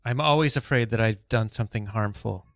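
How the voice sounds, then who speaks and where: A man's voice: fairly low pitch, a little fast, fairly bright tone, no room sound. Man bantering, dry close recording. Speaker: improv performer, panelist. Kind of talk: casual banter.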